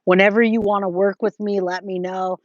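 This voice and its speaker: A woman's voice: mid-range voice, little pitch movement, brisk, dry recording, highs cut off. An American woman in her forties chatting casually with her livestream viewers, informal and emphatic, often repeating herself for effect. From California, United States. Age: 40s. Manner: informal, addresses viewers as guys, babe, my love. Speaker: true crime livestream host. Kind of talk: casual livestream chat, replying to viewers' comments.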